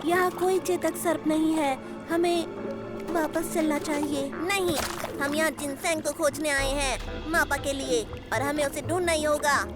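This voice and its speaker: An eager little animal cub from a children's cartoon, with a very high, childlike voice. Speech is exclamatory and youthful, over prominent music. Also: fairly even intonation, unhurried. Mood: nervous, urgent. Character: excitable young animal cubs on an adventure. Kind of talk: Hindi children's cartoon dub. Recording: music behind the voice.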